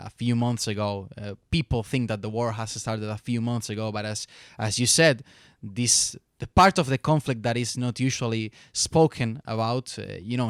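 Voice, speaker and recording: Male interviewer, smooth clear voice, medium pitch, dry close sound, wordy phrasing. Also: dry recording. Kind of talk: conversational interview.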